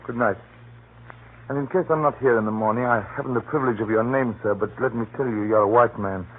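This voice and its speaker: A man's voice: medium pitch, fast, lightly acted. A man playing a fearful, hunted little informant, secretive and nervously insistent, in a 1930s radio drama. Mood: weary, polite. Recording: muffled, noisy 1930s radio recording. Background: faint hiss and noise.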